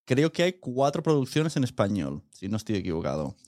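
A man's voice: medium pitch, big pitch swings, a little fast, a little mellow, no echo, studio quality. A man hosting a casual conversational interview in an informal, joking manner. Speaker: podcast interviewer.

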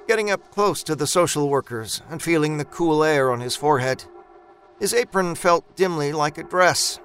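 A man's voice: on the high side, fairly bright tone. A man reading a horror story aloud in a dramatic narrating style over background music. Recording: music bed behind narration.

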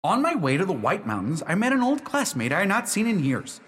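An actor playing an earnest, lovestruck young gentleman, telling his story formally in a radio drama. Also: high voice, huge pitch swings, brisk, full character voice. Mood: fond, earnest. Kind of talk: radio drama narration, first person. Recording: long hall reverb.

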